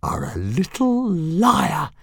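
A man's voice: fairly high pitch, huge pitch swings, gravelly, fairly bright tone, breathy edge, no room sound, pitched above the performer's own voice, full character voice. A man doing a hissing female dragon. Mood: menacing. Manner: hissing, slow.

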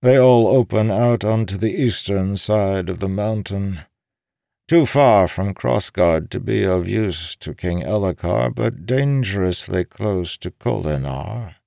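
A man acts out a sly old male prisoner in a fantasy audiobook. He talks slowly and pleasantly with quiet menace, his pitch lifted and his delivery calculating, in a dry close recording. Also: dry recording. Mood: persuasive, idle. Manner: smooth, unhurried, calculating. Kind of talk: dramatic audiobook character reading.

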